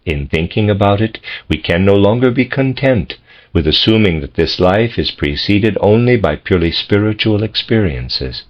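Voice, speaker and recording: A man reading a philosophical text as scripted narration, in measured, deliberate phrases with pauses. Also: fairly low pitch, expressive. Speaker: audiobook reader. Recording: dull, lo-fi audio.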